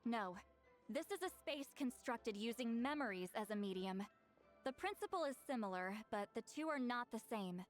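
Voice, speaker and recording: A woman's voice: a little high, animated, moderate pace, lightly acted. A woman playing a wry, aloof, knowing shaman in a video game, speaking in a curt, dry way. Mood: matter-of-fact. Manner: curt, dry, matter-of-fact. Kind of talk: video game character acting. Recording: faint background game music.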